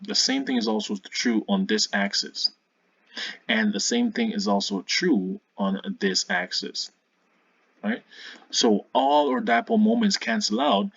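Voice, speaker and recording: A man's voice: lowish voice, creaky, fairly bright tone, husky, no room sound, dull audio. A man giving an informal science tutorial as a video host, hesitant, often repeating and correcting himself.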